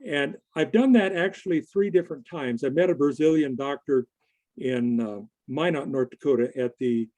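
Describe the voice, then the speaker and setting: A man giving a conversational presentation to a group in a halting, deliberate manner. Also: on the high side, mellow. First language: English. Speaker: conference presenter. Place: online video meeting.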